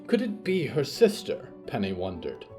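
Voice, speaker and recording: A male narrator reads dramatic fiction with a theatrical, literary delivery. His middle-pitched voice rises and falls widely, deliberate in its phrasing, over soft guitar music. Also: huge pitch swings. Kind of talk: scripted dramatic story narration.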